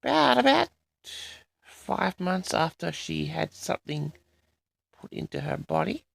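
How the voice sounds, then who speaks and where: Male solo audio-log host. Very gravelly, swinging intonation, halting monologue addressed to listeners, dry close sound. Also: on the high side, big pitch swings, no echo. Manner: halting, pausing often, repeatedly addresses the audience. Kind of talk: rambling solo monologue to an audience.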